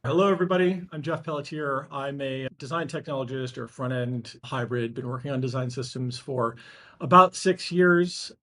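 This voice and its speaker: A man from New England in a mid-pitched voice. He gives a quick and friendly introduction to the panel. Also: sharp tone. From New England, United States. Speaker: panel speaker.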